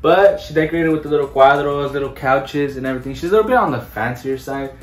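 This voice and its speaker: A man's voice: a little high, quick pace. Young guy w doing a casual house tour, bit far from the mic in echoey rooms, music behind. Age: 20s. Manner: mixes Spanish words into his English. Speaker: vlogger. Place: indoors, small bathrooms and bedrooms of a house. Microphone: handheld camera or phone held by another person, a few feet away. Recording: roomy echo, voice away from the mic.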